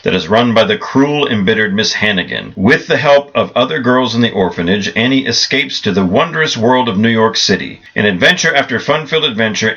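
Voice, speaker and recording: A man's voice: medium pitch, bright, forceful tone. A man reading a scripted promotional announcement as a radio announcer, in a dull recording with music behind.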